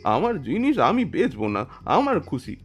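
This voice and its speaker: A fiery, obstinate poor villager for an audio story. His voice is fairly high and raspy, and he speaks in blunt, agitated bursts. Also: huge pitch swings, normal speed, soft background music, heavily acted. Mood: defiant anger. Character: stubborn hot-tempered poor villager, fiercely defiant.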